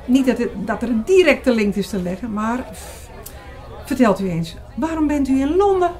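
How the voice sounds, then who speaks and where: A woman's voice: on the high side, sing-song, moderate pace, warm tone, a faint crowd. A woman playing a curious, self-assured young woman in audio-drama dialogue, speaking in a poised, conversational way.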